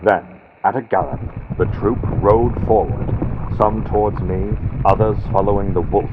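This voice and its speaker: A man with a low voice reads gothic horror as scripted audiobook narration. The recording is lo-fi, like vintage radio, with a faint layer of noise from a built-in computer microphone.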